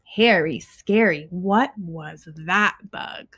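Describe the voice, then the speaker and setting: A young adult woman reading a children's picture book aloud expressively, with deliberate, emphatic phrasing and pauses between short phrases. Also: lowish voice, fairly bright tone. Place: small living room at home, indoors.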